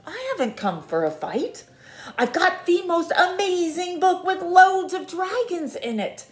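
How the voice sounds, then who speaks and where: A woman voicing a gentle, bookish young knight in a storybook read-aloud, polite and softly coaxing. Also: very high-pitched, sing-song, a little slow, heavily acted. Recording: roomy echo.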